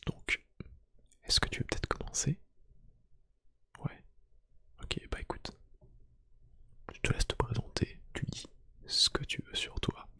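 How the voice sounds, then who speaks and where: A man doing a soft-spoken ASMR roleplay, gently whispering one-to-one to the listener in a hushed, breathy voice. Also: measured pace, pauses often, no room sound. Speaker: ASMR YouTube creator. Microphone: close microphone.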